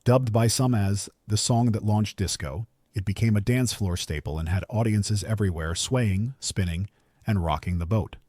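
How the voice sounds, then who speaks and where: A man reading scripted countdown narration in a polished, measured storytelling manner, close on the microphone. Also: fairly low pitch, darkish tone.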